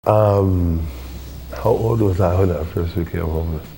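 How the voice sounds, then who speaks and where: A man in his twenties giving deliberate, philosophical answers as an interview guest. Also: low voice, steady tone, mellow. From born in Jamaica. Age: 20s. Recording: faint background noise.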